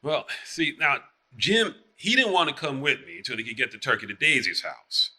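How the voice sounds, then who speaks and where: A man's voice: medium pitch, big pitch swings, normal speed, pushed and bright. A man playing a boastful, evasive small-town marshal with a Southern US accent, telling rambling tales in theatrical dialect.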